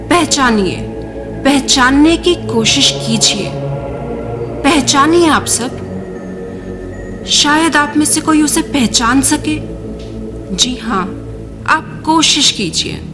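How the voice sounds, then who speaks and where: Lady acting mysterious radio drama narrator, high pitched n unhurried, theatrical questions, soft music under. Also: full character voice. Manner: theatrical, questioning, addresses listeners directly. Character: mysterious female narrator introducing a wretched stranger.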